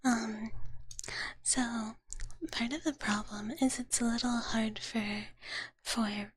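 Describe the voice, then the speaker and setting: A woman whispering softly and breathily in a gentle, doting big-sister manner, chatting as an ASMR livestreamer close to the microphone and often repeating her words. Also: fairly high pitch, steady tone, takes it slowly, breathy edge. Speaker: ASMR streamer. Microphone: close microphone, dry sound.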